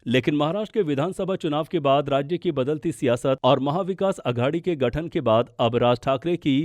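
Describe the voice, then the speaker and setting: A man's voice: fairly high pitch, brisk, darkish tone, no echo, studio quality. A man narrating a scripted political explainer as a news podcast presenter.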